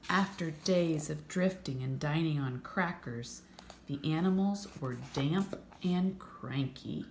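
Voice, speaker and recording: Female storybook reader, lowish and gravelly, animated delivery with direct asides to listeners. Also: takes it slowly. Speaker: teacher reading a picture book aloud. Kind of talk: storybook read-aloud with chatty asides. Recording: roomy echo, faint background noise.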